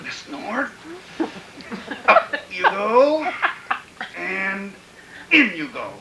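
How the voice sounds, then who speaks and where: Radio thriller villain: polite, deranged, repetitive employer with very animated pitch, heard in a reverberant room. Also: male voice, background noise, exaggerated voice. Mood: gloating, menacing. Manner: fussy, repetitive, muttering to himself. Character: sinister, gleefully deranged male killer posing as fussy polite employer. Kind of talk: old-time radio thriller acting.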